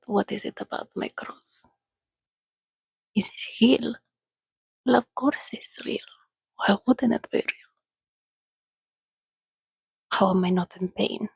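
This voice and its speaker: An ancient vampire played by a woman speaks in a very breathy, hushed whisper. She takes her time, coaxing and soothing, with a gently teasing edge, as in an intimate ASMR roleplay. The sound is dry and close, with a crackle of fry at the edges. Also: fairly high pitch, no echo, exaggerated voice. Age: over a thousand years old, as played. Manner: slow, coaxing, conversational with pauses. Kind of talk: ASMR roleplay, soft-spoken.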